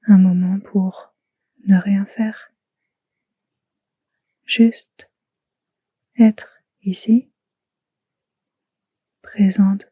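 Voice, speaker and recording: A woman guiding a relaxation meditation in a soft, hushed, soothing way, in short phrases with long pauses. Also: mid-range voice, breathy edge, dry recording, dull audio. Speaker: yoga nidra guide.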